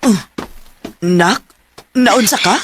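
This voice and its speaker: Radio drama casting: practical, reassuring mum, middle-aged, medium pitch, Cebuano accent. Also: female voice, extremely slow, fairly bright tone, slight background noise. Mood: reassuring, concerned. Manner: chatty, reassuring.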